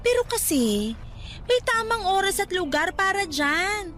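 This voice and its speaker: Radio drama part: nagging, sensible young Filipino woman, very high piercing voice, exasperated and cautionary. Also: very animated, unhurried, fairly bright tone, heavily acted. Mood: earnest, cautioning. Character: nagging, sensible female cousin and resort co-worker. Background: music bed.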